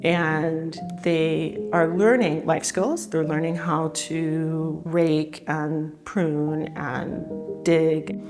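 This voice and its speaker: A woman from California, a teacher, talking in a chatty, relaxed, upbeat way in an interview. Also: sits low. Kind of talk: casual interview talk. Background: soft guitar music.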